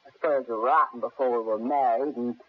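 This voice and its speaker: A woman's voice: rumbling low, fairly even intonation, brisk, breathy edge. An actress playing a sultry, teasing, mercenary wife in a radio drama, languid and needling in her delivery. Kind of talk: 1970s radio drama acting. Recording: muffled phone-like vintage radio recording.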